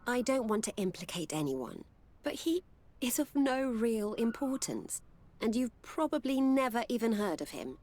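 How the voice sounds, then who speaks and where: A woman's voice: fairly high pitch, sing-song, normal speed, darkish tone. A woman playing a wary, world-wise courtesan in a video game. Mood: guarded.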